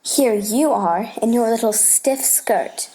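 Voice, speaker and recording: A woman's voice: a little high, slow pace, dark tone, rough recording. Woman reading as a patient, playful scholarly uncle. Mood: teasing, patient. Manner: patient, explanatory, teasing. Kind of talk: storybook play reading.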